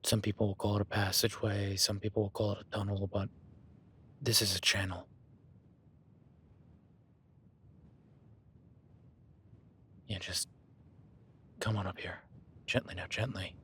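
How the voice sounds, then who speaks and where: A man playing a calm cowboy rancher. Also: a little fast, long pauses, a little hiss or hum, natural voice. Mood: hushed. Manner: low-key, calm and instructive, often hushed. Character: rugged male cowboy rancher, steady and protective. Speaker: ranch hand tracking a beast. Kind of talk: listener-addressed audio roleplay.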